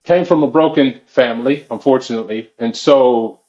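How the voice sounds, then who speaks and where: A man's voice: medium pitch, normal speed, a little mellow, highs cut off. A man from New Orleans with a New Orleans accent, a podcast guest who talks hesitantly with many fillers. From New Orleans, Louisiana.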